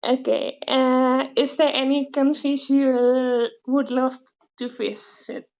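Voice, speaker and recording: A woman's voice: sits high, flat delivery, slow, a little mellow. A woman interviewing casually, with a giggly, hesitant manner, on dull lo-fi audio.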